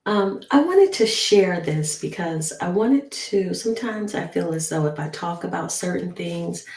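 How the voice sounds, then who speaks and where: A woman with a low, hoarse voice, worn from a lingering cough. She rambles through a personal story, full of ums and restarts. The room around her sounds echoey. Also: animated, unhurried, darkish tone. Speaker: travel nurse. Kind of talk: casual storytelling vlog.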